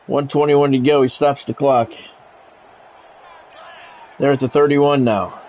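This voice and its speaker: A man's voice: medium pitch, steady tone, a little slow, lo-fi sound, slight background noise. A man doing play-by-play of his own football video game in short, blunt phrases, mixed with casual gamer talk.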